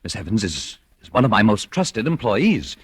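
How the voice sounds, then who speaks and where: A timid American bank manager in a 1940s radio thriller. The voice is husky and rather low, swinging up and down as he stammers in fluster. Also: very animated, normal speed, heavily acted. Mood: alarmed. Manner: hesitant, stammering.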